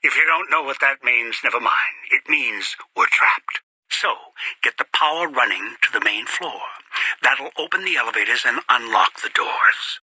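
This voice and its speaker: An actor playing a bitter, greedy old man in a video game, scheming and commanding with contempt in a raspy, breathy voice. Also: medium pitch, animated, moderate pace, pushed and bright, no echo, full character voice, low-quality recording. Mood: condescending, instructing. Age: older. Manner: contemptuous, commanding, muttering to himself. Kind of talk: video game character voice acting.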